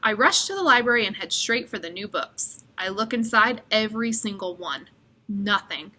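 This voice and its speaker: A woman reading a children's story aloud with expressive delivery. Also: mid-range voice, moderate pace. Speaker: storybook reader.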